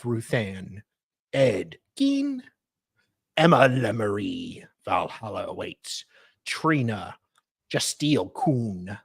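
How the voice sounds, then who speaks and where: Casual livestream co-host, unhurried pace, animated pitch movement, close dry sound. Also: breathy edge, no echo. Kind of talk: casual chat.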